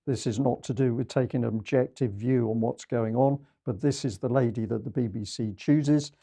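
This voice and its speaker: Gravelly, dark-voiced male presenter. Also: medium pitch, normal speed. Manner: pointed, critical, emphatic. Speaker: news show presenter. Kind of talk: commentary on news clips.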